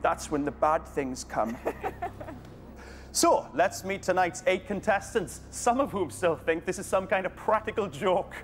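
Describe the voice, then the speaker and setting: A man hosting a British TV game show, delivering scripted lines with cheeky deadpan jokes in a studio. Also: sits high, unhurried. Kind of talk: scripted playful presenting. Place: large TV studio. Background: music.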